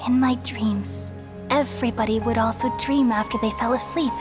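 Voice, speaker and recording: A woman playing a serene, benevolent goddess in a video game, telling her story in a solemn, tender, measured way. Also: on the high side, steady tone, lightly acted. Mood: tender, wistful. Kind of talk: English video game voice acting. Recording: music behind the voice. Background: clear background music.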